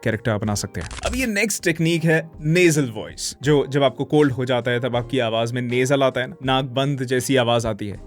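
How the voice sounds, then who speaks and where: A man's voice: mid-range voice, brisk, few pauses, soft background music. A man explaining techniques as a voiceover coach. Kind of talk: instructional explanation with demonstrations.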